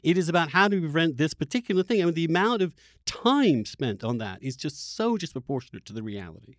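A man discussing politics in an opinionated interview style, speaking haltingly and emphatically and often repeating phrases for weight. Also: fairly high pitch, big pitch swings, no room sound, studio quality. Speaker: podcast host.

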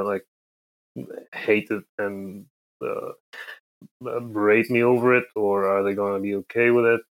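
A middle-aged man from Egypt, chatting as an interview guest in a hesitant, halting way. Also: fairly low pitch, poor audio. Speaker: podcast guest, comic creator. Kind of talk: casual interview chat.

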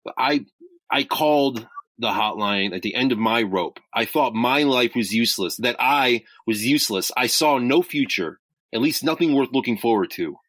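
A man playing an earnest, caring crisis hotline volunteer in an audio drama, speaking in a measured, hesitant, sincere way. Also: mid-range voice, lively intonation, a bit bright, dry recording. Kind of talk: naturalistic two-person audio drama acting.

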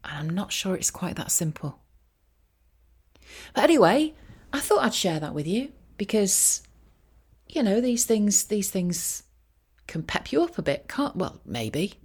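A woman talking casually in a rambling, self-deprecating monologue, with wry, gently ironic asides. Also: medium pitch, big pitch swings, measured pace, pauses often, husky. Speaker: voiceover artist and career coach sharing a personal update.

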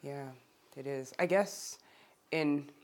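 Self-correcting man, slightly higher voice. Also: slow pace. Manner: searching, circling back, self-correcting. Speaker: discussion participant. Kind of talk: reflective group discussion.

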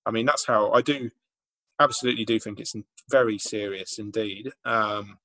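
A man's voice: on the low side, steady tone, moderate pace, bright, forceful tone, low-quality recording. Blunt, emphatic male podcast co-host. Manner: blunt and emphatic, with dry asides. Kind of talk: casual political chat.

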